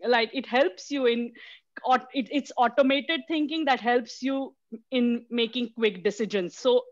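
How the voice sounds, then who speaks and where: A woman's voice: a little high, no room sound. Woman from Mumbai on a video call. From Mumbai, India. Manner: halting, many fillers and restarts. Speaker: guest speaker. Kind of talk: mentorship talk over video call. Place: small room indoors. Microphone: headset on a video call. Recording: dull lo-fi video call audio.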